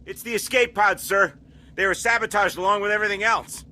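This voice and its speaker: An actor playing a tense, dutiful colony survivor in a video game, urgent yet resigned. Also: male voice, high voice, fairly even intonation, fairly quick, sharp tone.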